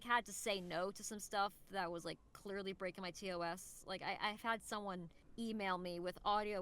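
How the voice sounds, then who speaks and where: A woman's voice: medium pitch, a bit bright. A woman chatting casually as an interview guest, talking informally and thinking aloud.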